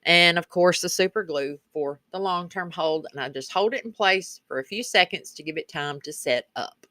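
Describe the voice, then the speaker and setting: A woman's voice: on the low side, normal speed, bright, forceful tone, dry recording. A woman with a rural Kentucky Southern accent narrating a casual craft tutorial as the channel's host, in a folksy, chatty way. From Kentucky, rural United States.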